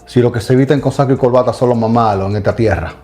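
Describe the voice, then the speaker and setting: A middle-aged man in a casual religious discussion with a dark, mellow voice. He speaks emphatically and turns to address the audience directly. Soft music plays faintly behind him. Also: mid-range voice, fast talker. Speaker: co-host of a Bible study channel.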